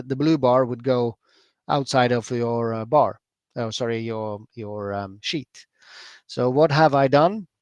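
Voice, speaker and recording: A man from Norway with a Norwegian accent, chatting through a software demo at a user group, relaxed, with lots of fillers and self-corrections. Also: medium pitch, no echo. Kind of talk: screen-share software demo.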